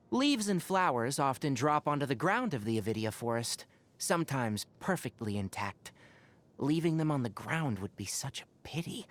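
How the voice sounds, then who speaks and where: A man's voice: mid-range voice, a bit bright. A man voicing an earnest, wry young forest ranger scholar in a video game, speaking in an articulate, thoughtful, caring way. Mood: gentle, reflective. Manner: articulate, thoughtful, dryly opinionated. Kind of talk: scripted game character monologue.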